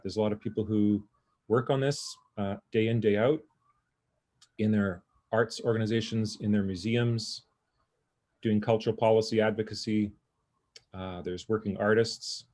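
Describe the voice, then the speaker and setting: A man, giving informal opening remarks as an event co-host on a video call, in a halting, earnest manner. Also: on the low side, darkish tone. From North Vancouver, Canada. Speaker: symposium co-host.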